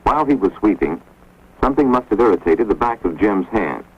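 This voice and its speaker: A man giving measured, grave scripted narration for an instructional film, recorded on a dull, lo-fi 1950s film soundtrack. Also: on the low side, lively intonation, slightly rough. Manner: measured, grave, authoritative delivery.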